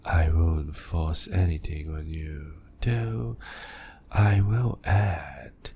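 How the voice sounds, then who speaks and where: Gravelly sadistic vampire doctor. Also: male voice, a bass voice, dull audio, a little hiss or hum, full character voice. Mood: toying. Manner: slow, silky, toying, with soft laughs. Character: male vampire doctor, calm, sadistic, clinical. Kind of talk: audio drama roleplay.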